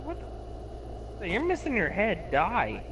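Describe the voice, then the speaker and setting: A man with a slight lisp talks over his game in a high, bouncing voice that leaps around as he yelps and curses. Game noise runs clearly under him on the voice call. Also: slow. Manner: excitable, yelping and cursing. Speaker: gamer. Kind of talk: excited gameplay chat.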